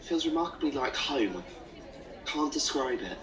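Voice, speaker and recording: An actor playing an earnest, questioning young man in an audio play, hesitant and expressive. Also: mid-range voice, a little slow, lightly acted. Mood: hesitant wonder. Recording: busy crowd murmur behind.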